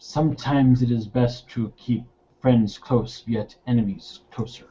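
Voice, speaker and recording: A man with a medium-pitched voice takes part in a roleplaying game with casual talk. The audio is somewhat dull and lo-fi. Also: fairly even intonation, measured pace, warm tone. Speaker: tabletop roleplaying game player. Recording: dull, lo-fi voice recording.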